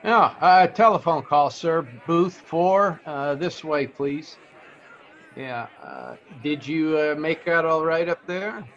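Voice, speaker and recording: An actor playing a hesitant, obliging hotel page in an old-time radio drama, halting and deferential. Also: male voice, a little high, big pitch swings, dull audio, some chatter behind, full character voice. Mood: sheepish.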